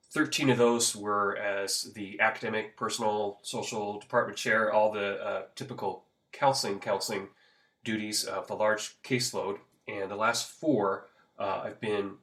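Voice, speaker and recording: A man in his fifties from the Pacific Northwest, a school counselor, chatting in an interview at an easy, rambling pace with many "uh" pauses. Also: fairly low pitch, vocal fry, fairly bright tone. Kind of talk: conversational interview.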